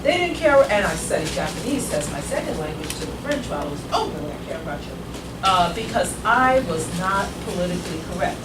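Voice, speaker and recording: A woman's voice: lowish voice, sing-song, fairly quick. A grandmother in her sixties telling a rambling personal story at a community meeting, growing emphatic as she goes. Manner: rambling, grows emphatic and forceful. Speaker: meeting attendee. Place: meeting room. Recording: echoey room, far from the mic, loud noise.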